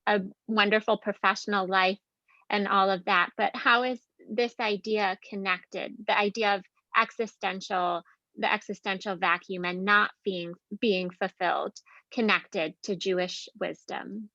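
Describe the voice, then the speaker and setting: A woman interviewer building a long, thoughtful question with soft fillers. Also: medium pitch, steady tone, a little slow, dry recording, highs cut off.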